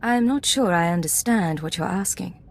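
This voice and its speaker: Hard-edged female spy, lowish voice, curt, commanding, wary, game dialogue. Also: expressive, moderate pace. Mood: wary, cool. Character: hard-edged, suspicious female imperial secret agent. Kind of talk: video game voice acting.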